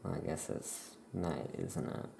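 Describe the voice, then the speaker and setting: A soft-spoken ASMR performer playing a laid-back witch shopkeeper, murmuring in an unhurried way while writing. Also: rumbling low, big pitch swings, creaky. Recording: roomy echo, faint background noise.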